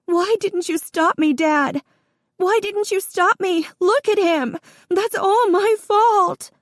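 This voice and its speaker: A woman's voice: extremely high pitch, slow pace, a bit bright, no echo, clean studio sound, voice pushed up, exaggerated voice. A woman narrator voicing an angry adult daughter who is sobbing. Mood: sobbing, furious. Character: young woman, hurt and angry grown daughter.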